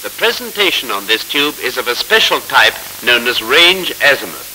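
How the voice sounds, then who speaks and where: Male narrator, 1940s instructional film, very sharp bright tone, lively intonation, formal and measured, scratchy hissy soundtrack. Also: medium pitch.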